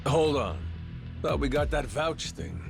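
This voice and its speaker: An actor playing a cocky middle-aged Yakuza turned pirate captain, with a brash, slangy drawl. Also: male voice, fairly high pitch, big pitch swings, a little slow, vocal fry, over music, exaggerated voice. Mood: casual.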